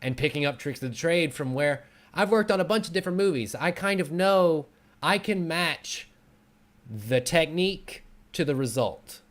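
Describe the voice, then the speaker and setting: A man's voice: on the high side, animated, moderate pace. A man talking as a guest in a casual conversation, explaining things as an online video creator.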